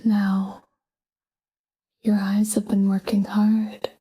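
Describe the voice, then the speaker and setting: Breathy whispering woman guiding sleep, very slow. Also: mid-range voice. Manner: calm, gentle, unhurried with short phrases. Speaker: sleep meditation guide. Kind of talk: whispered guided sleep meditation.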